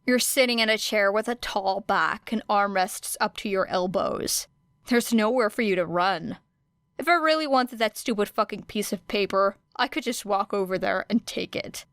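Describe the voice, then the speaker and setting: A woman voicing a guarded, sardonic teenage girl who speaks bluntly and flatly, in a storybook audio reading. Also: medium pitch, moderate pace, clean tone, no room sound, clean studio sound, lightly acted. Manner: blunt, flat, through clenched teeth.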